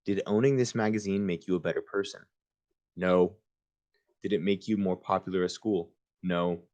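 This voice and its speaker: A man's voice: deep voice, steady tone, normal speed, a little mellow, highs cut off. A man reading a children's book aloud in an informal way, with casual asides of his own. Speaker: reader hosting a book livestream.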